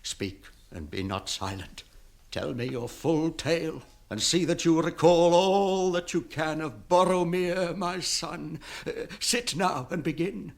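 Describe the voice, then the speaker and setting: An actor playing a stern, grieving old lord steward in British fantasy radio drama, speaking formally and imperiously with archaic phrasing. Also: male voice, a little high, takes it slowly, gravelly. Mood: brooding, commanding.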